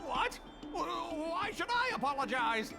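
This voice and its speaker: An actor playing an arrogant, humiliated corporate representative in a video game, theatrical and prone to stammering. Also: male voice, very high voice, big pitch swings, a little slow, heavily acted. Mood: indignant shock. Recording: background music under the voice.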